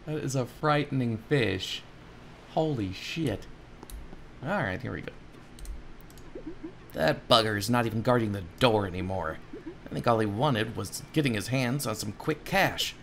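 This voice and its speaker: A man's voice: medium pitch, measured pace, vocal fry, pauses often, a bit bright, a little hiss or hum. A man in his thirties giving casual, muttered, profane video game commentary. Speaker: video game streamer voicing over a game. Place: small home room. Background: game music.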